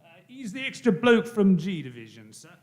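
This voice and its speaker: A man playing a gruff, suspicious police constable in a radio play. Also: high-pitched, very animated, moderate pace, darkish tone. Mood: deferential. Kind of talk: live radio play reading.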